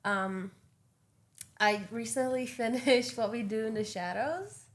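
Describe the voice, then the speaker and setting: A woman's voice: on the high side, measured pace. A woman, a native Farsi speaker, chatting as a podcast guest, enthusiastic and giggly. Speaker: actress and filmmaker, guest. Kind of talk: casual chat.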